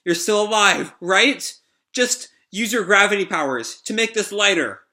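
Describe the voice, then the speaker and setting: Man voicing an excitable, high-pitched young heroine. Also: slow, exaggerated voice. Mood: urgent. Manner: exclaiming, urgent.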